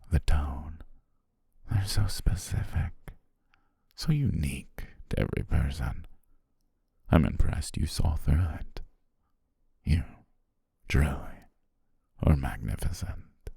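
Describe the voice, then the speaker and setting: A man playing a possessive shapeshifting naga who poses as a devoted servant, speaking gently and formally in an intimate ASMR roleplay, husky, breathy and often whispering. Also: rumbling low, dry recording, clean studio sound, exaggerated voice. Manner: slow, gentle, formal, coaxing.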